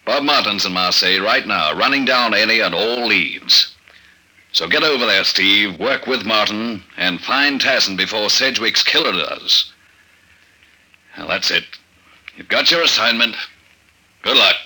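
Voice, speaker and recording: A very sharp, forceful, fairly low voice plays a commissioner in an old radio thriller. He is brisk, authoritative and strictly matter-of-fact, a male boss giving orders. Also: lively intonation, slightly rough, exaggerated voice, rough recording. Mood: urgent, businesslike. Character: businesslike male government commissioner briefing his agent. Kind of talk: 1950s radio drama acting.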